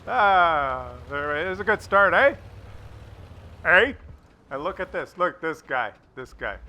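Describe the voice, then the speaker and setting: A man with a bright, forceful and slightly high voice commentates game replays in a casual way. He is jokey and crude and often repeats his phrases. Vehicle noise from the game is clearly heard behind him. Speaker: host of gameplay commentary video.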